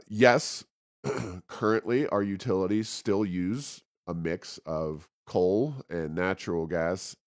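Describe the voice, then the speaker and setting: A man hosting a talk show, giving political commentary in a halting, deliberate way with frequent pauses. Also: deep voice, no echo, studio quality. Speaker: podcast host. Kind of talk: political policy commentary in conversation.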